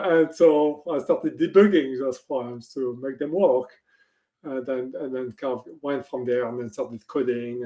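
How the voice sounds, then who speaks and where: A man's voice: mid-range voice, mellow. A man with a French accent answering questions as an interview guest, hesitant with many fillers and small chuckles. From France. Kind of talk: casual interview chat. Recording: echoey room.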